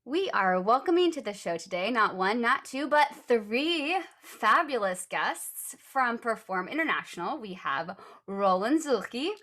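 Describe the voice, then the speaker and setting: A woman hosting a music education podcast, upbeat and quick to laugh. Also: fairly high pitch, big pitch swings. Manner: warm, upbeat, laughs easily. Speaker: podcast host. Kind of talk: podcast intro and interview.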